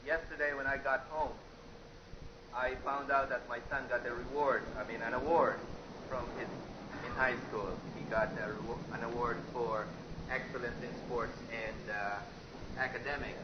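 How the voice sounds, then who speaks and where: Male questioner from the floor, mid-pitched, halting and unscripted, with clear noise on the live recording. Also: steady tone. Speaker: audience member asking a question. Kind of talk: spontaneous personal question. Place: conference room, speaking from the audience. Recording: muffled, noisy, echoey live cassette recording.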